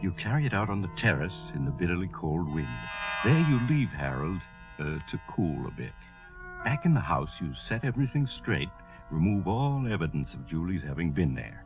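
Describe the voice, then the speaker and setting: Sinister storyteller, very low, sardonic narration, music behind, tinny 1940s radio recording. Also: male voice, big pitch swings, moderate pace. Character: mysterious, sinister male storyteller, sardonic and insinuating. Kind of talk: dramatic second-person 1940s radio narration.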